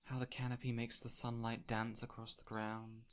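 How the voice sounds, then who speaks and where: A man playing an ancient, whimsical fey spirit in an audio roleplay, speaking in a gentle, courtly, theatrical way with lingering pauses. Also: mid-range voice, monotone, slight background noise, lightly acted. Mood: gentle, coaxing. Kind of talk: audio roleplay addressed to the listener.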